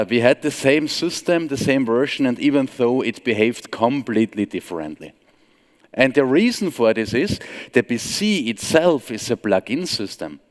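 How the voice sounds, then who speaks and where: A man in his forties from Austria with an Austrian German accent, giving a conference tech talk from a stage with a relaxed, wry, self-deprecating humor. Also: mid-range voice. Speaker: conference speaker. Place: large conference hall stage. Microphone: headset mic. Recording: long hall reverb.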